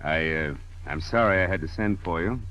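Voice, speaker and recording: A low-voiced, brisk spy chief. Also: male voice, sharp tone. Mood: businesslike. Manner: matter-of-fact, authoritative. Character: brisk, businesslike male intelligence chief, no-nonsense. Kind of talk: 1940s radio drama acting. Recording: dull old radio broadcast recording.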